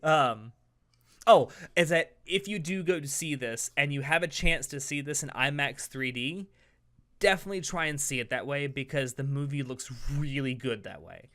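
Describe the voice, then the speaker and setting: A man's voice: mid-range voice, normal speed, fairly bright tone. A man hosting a podcast in a casual, enthusiastic and opinionated way. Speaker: podcast host.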